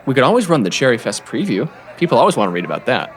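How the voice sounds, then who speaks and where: A man plays a wry, easygoing teenage sidekick in an audio drama. He talks quickly with big pitch swings, over a faint crowd. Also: big pitch swings, lightly acted. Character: easygoing teenage boy, school newspaper sidekick.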